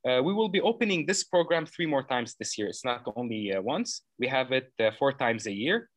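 Man, 30s, from Lebanon; mid-pitched, earnest, filler-heavy explainer, dry mic. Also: lively intonation, fairly quick, no echo. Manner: earnest, explains at length with frequent uh fillers and self-corrections. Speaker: program founder interviewed as guest. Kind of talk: conversational interview answers.

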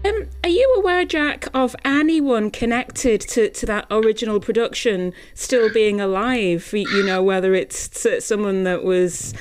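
Woman, radio interviewer, very clear smooth voice, mid pitch, conversational, halting searching phrasing. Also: faint music behind. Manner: hesitant, searching phrasing with many repeats. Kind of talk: casual interview chat.

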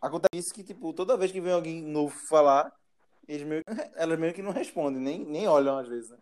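A man's voice: on the high side, brisk, a little mellow. A man hosting a casual podcast chat, laughing often and trailing off mid-sentence. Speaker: podcast host.

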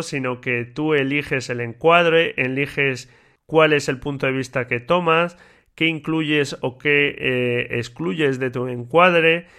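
A man hosting a solo podcast in a relaxed, chatty way with many fillers, his voice slightly stuffy and congested from a cold. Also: medium pitch. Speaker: podcast host. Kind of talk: casual solo podcast talk.

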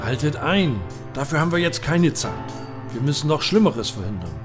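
A man's voice: fairly high pitch, big pitch swings, normal speed, over music. Fantasy radio play knight, male actor: stern, commanding, declamatory, very varied intonation. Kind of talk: comedic fantasy audio drama acting.

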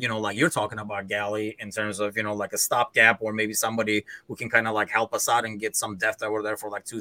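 A man hosting a casual sports chat who thinks out loud and keeps handing questions to his co-hosts. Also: fairly low pitch, dry recording. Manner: inquisitive, loose, thinks aloud with fillers. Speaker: podcast host.

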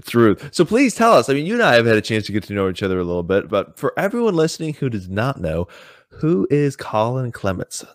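A man's voice: mid-range voice, very animated, quick pace, dry recording, studio quality. A man hosting an interview show, upbeat and affirming toward his guest. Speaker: podcast host. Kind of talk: interview hosting with scripted intro.

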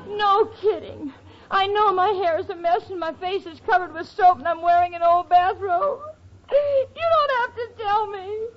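A woman's voice: very high voice, slow pace, long pauses, a faint crowd, a little hiss or hum. An actress playing an exasperated, sarcastic young woman in a 1940s radio comedy. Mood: sarcastic, aggrieved. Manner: dry, complaining, dramatic. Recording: dull old radio recording with studio audience.

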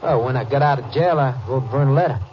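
An actor playing a wary, defiant young cowhand on parole in a 1950s radio drama, guarded and clipped. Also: male voice, medium pitch, fast talker, natural voice. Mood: guarded, uneasy. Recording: muffled, slightly noisy old radio recording.

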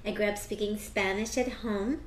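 An American woman speaking as an astrologer and tarot reader, chatting casually in an interview with bursts of excitement. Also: mid-range voice, reverberant, crowd noise. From United States. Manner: animated, quick bursts of excitement.

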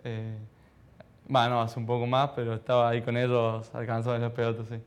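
A man of about twenty with an Argentine accent, giving modest, halting answers as a footballer in a casual interview. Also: mid-range voice, monotone, clean tone. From Argentina, childhood in Ecuador. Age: around 20. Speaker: professional footballer being interviewed.

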